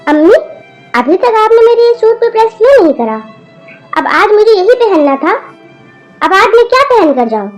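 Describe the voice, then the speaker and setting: Woman doing a snappy spoiled college girl, whines at her mom, really high voice. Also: big pitch swings, moderate pace, pauses often, muffled recording, faint music behind. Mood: whiny. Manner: whiny, snapping, rude. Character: rude spoiled college-age daughter.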